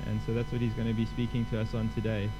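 A man's voice: on the low side, monotone, fast talker, over music, poor audio. A man with a South African accent welcoming a congregation and introducing a guest preacher in a chatty, self-deprecating way. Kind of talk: casual church welcome.

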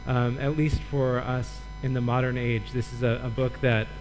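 A man from Michigan preaches as a guest, explaining scripture in a relaxed, lightly joking manner. His pitch is middling, and a mains hum runs under the recording with faint music. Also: normal speed, poor audio. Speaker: guest preacher, Old Testament professor. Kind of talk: sermon teaching.

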